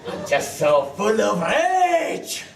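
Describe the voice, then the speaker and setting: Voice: mid-range voice, huge pitch swings, takes it slowly, creaky, echoey room, recorded from a distance, noisy background, exaggerated voice. A player voicing a boisterous male Scottish warrior chief in a put-on Scottish accent, rowdy and often laughing.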